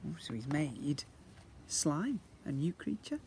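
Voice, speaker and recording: Man, very lively storybook reader. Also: a little high, takes it slowly, creaky. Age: middle-aged. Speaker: teacher reading to young pupils. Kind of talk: animated children's storybook narration. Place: outdoors in a brick-walled yard. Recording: noisy outdoor handheld recording.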